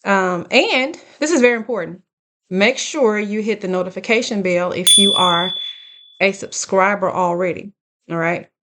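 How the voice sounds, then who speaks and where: A woman in her late thirties giving casual, earnest life advice straight to her viewers, speaking deliberately and checking in with them. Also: medium pitch, noisy background. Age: late 30s. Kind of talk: casual direct-to-camera advice talk.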